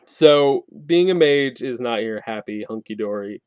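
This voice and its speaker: A man with a mid-pitched voice talks through a game review in a casual, colloquial way. He is wry, slipping in sarcastic asides as he explains things. The sound is dry, with very little room echo. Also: animated, a little slow, clean tone. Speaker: tabletop game reviewer and host.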